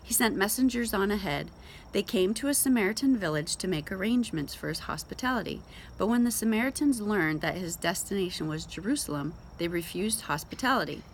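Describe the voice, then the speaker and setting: A woman's voice: mid-range voice, animated, fairly quick, a little hiss or hum. A woman giving an informal devotional talk in a conversational, emphatic way, as host of a Bible reading show. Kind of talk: casual devotional talk with scripture read aloud.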